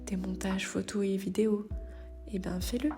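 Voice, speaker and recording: A woman's voice: mid-range voice, fairly even intonation, moderate pace, over music. A woman hosting a motivational podcast, speaking straight to the listener in a familiar, direct way with the odd hesitation. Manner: direct, addresses listener informally, occasional hesitations. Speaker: podcast host. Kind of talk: motivational solo podcast talk.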